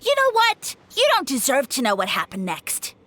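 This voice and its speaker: A woman's voice: high voice, huge pitch swings, normal speed, heavy background noise. A woman voicing a boastful male mushroom spirit who calls himself a savior, grand and evasive in a video game. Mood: huffy. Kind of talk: game voice acting.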